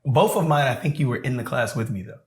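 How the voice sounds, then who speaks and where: A man co-hosting a podcast, asking quick, curious questions in casual chat. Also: mid-range voice, reverberant. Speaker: podcast co-host.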